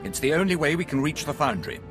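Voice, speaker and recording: An actor playing a dutiful, proud Imperial fleet commander in a video game, speaking in a crisp, formal military manner. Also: male voice, a little high, very animated, fast, over music. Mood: briefing. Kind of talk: dramatic video game acting.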